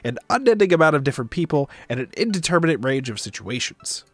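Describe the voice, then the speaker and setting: A man's voice: fairly high pitch, very animated, quick pace, fairly bright tone, no echo. A man narrating a visual novel aloud for a stream, with casual asides. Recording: music behind the voice. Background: music.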